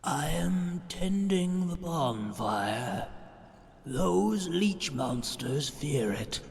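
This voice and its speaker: Man acting a slow, gravelly bonfire keeper. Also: a little high, sing-song, full character voice. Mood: calm, reassuring. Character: male lore-keeper tending a bonfire among plague monsters, matter-of-fact. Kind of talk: fantasy game voice acting. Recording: long reverb, music underneath.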